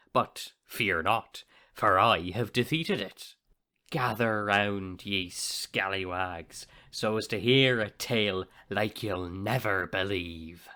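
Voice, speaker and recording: Slow, boastful captain. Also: male voice, lowish voice, sharp tone. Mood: boastful, taunting. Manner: grandiose, theatrical, slow storytelling. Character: boastful swashbuckling male pirate captain telling tall tales. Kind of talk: audio play acting.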